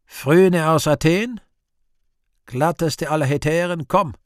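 An actor playing the Devil in a radio play, weary, sly and scornful, declaiming slowly and theatrically. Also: male voice, fairly high pitch, smooth, dry recording, studio quality. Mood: declaiming, accusing. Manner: slow, deliberate, theatrical declamation with drawn-out words.

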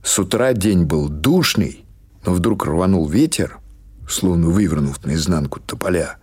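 A man reading literary prose as an audiobook narrator, in a measured, dramatic storytelling manner. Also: deep voice, lively intonation, a little mellow, breathy edge.

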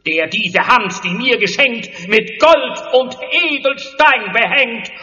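An actor playing a lovesick stage actor who declaims pompous rhymed verse theatrically in a German audio drama. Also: male voice, extremely high pitch, animated, takes it slowly, sharp tone, faint music behind, heavily acted. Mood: jealous, indignant. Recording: dull sound in a long-reverberant echoing hall.

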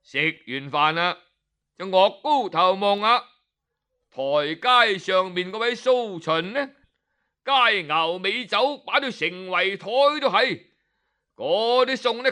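A man narrating a folk tale in a lively, dramatic storytelling style. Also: high-pitched, measured pace.

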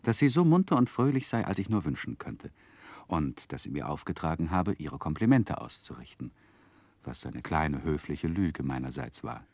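Deep-voiced male radio play narrator. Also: sing-song, moderate pace, a little mellow, no room sound. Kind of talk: scripted literary narration in a 1960s German radio play.

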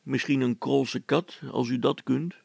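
A man's voice: mid-range voice, fairly even intonation, a little slow, creaky, darkish tone, husky, no room sound, exaggerated voice. A man voicing a polite, practical and hesitant male accomplice in a storybook, deferential but mildly objecting. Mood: polite, approving.

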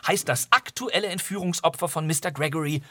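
A man's voice: a little high, a little fast, sharp tone, no echo, clean studio sound. A man presenting and narrating in a chatty, comic, mock-dramatic way, as if retelling a story to listeners.

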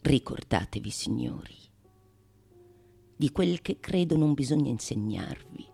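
A woman playing a grave, ardent philosopher-author, declaiming a solemn monologue for radio theatre with deliberate pauses. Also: very deep voice, lively intonation, creaky, warm tone, slightly rough, faint music behind, exaggerated voice. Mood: grave, hushed.

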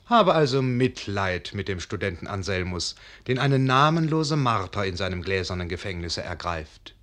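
A man's voice: lowish voice, big pitch swings, moderate pace, fairly bright tone, a little hiss or hum. A man narrating a radio drama as a storyteller, in a wry, ironic manner that addresses the listener.

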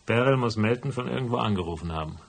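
An actor playing a stubborn, hardboiled private detective in a German radio drama, dry, laconic and matter-of-fact. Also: male voice, fairly low pitch, lively intonation, quick pace, vocal fry. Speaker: voice actor and first-person narrator.

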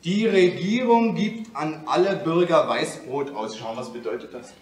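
An earnest, higher-voiced young man, echoey. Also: expressive, measured pace. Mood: puzzled. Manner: defensive, serious. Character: earnest, prickly, shy young male physicist. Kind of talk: German radio play acting. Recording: echoey room, slightly distant, faint hiss.

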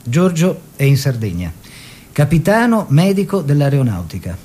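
A cultured, teasing painter and husband in a radio play. His delivery is theatrical and ironic, with wide, animated swings in intonation. He lingers on some words and hurries through others. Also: a little high, moderate pace. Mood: sober. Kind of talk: theatrical radio drama, storytelling and bantering dialogue.

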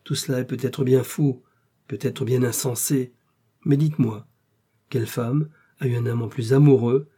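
A man's voice: medium pitch, fairly even intonation, normal speed, mellow, husky, lightly acted. A man playing a passionate, melancholy duke in love, speaking in fervent, pleading declamation for a dramatic novel reading. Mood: ardent. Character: passionate, lovestruck English duke, reckless and melancholy.

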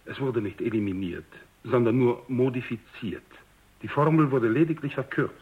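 An actor playing a self-justifying military general in a German radio drama, formal and explanatory with some bluster. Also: male voice, medium pitch, normal speed, close to an everyday voice. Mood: courteous insistence. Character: self-justifying, brusque military general, courteous to superiors' experts. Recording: slightly dull radio recording.

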